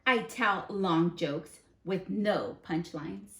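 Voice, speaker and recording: A woman voicing a boastful, self-confessed bad sunflower seed from a children's storybook, with dramatic drawn-out words. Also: fairly low pitch, creaky, reverberant. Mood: dramatic boasting. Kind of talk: children's storybook character read aloud.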